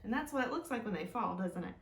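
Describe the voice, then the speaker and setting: A woman leading a children's storytime as a librarian, reading aloud expressively and talking gently and simply to young listeners. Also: mid-range voice, expressive, fast. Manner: gentle, simple, encouraging talk aimed at small children. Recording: roomy, echoing indoor sound.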